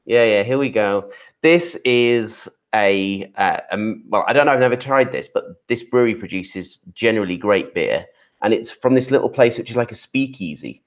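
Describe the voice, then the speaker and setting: A man's voice: lowish voice, animated, moderate pace. A man in his thirties wearing headphones, telling a rambling, friendly story on a video call from home. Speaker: co-host. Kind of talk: casual chat on a video call. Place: room at home.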